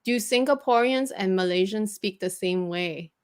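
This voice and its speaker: This is a woman who speaks Cantonese, co-hosting a relaxed chat. Her voice sits at an ordinary middle pitch. She explains things patiently and laughs easily. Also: normal speed, clear, resonant tone. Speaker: co-host. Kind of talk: casual chat.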